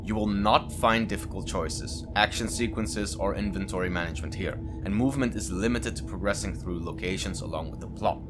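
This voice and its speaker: A man with a fairly low voice hosts a gaming video over clearly audible music. He is a non-native English speaker, casual and chatty, moving between reading aloud and offhand jokey remarks. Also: brisk, hardly any pauses. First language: not English. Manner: informal, chatty, jokey asides. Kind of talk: casual let's-play commentary and reading aloud.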